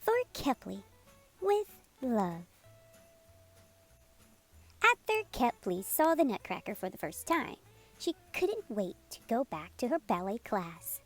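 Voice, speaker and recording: A woman reading a children's picture book aloud as a storytime host, in an expressive, unhurried storytelling manner. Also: on the high side. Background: music playing under the voice.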